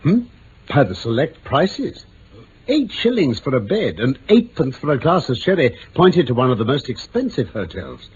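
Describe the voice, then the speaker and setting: A man's voice: mid-range voice, very animated, moderate pace, close to an everyday voice. Seeking a man to play a courteous, self-assured British detective for old radio drama: swooping intonation, precise explanations, faint hiss. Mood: assured, explanatory. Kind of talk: 1950s-60s BBC radio drama acting. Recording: dull vintage radio recording, faint noise.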